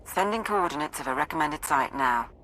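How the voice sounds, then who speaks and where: An actress playing a guarded military support operator in a video game, brisk and businesslike. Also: female voice, low voice, lively intonation, pushed and bright, a little hiss or hum, low-quality recording. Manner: clipped, businesslike. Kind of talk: video game cutscene acting.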